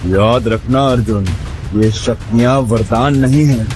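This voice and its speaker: A man's voice: medium pitch, steady tone, unhurried, over music, close to an everyday voice. A man playing a solemn mystical warrior mentor in an audio drama, speaking in a grave, portentous manner. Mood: solemn warning.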